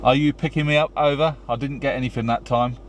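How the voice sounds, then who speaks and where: Man vlogging over clear vehicle noise. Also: medium pitch, steady tone, fast talker. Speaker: vlogger testing two-way radios. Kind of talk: casual vlog talk with radio check calls.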